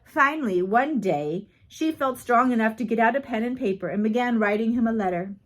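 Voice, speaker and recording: A woman narrates a written biography aloud. Her voice sits a little higher than most women's. She reads in measured phrases, in the style of an audiobook. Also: animated, low-quality recording. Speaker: audiobook reader.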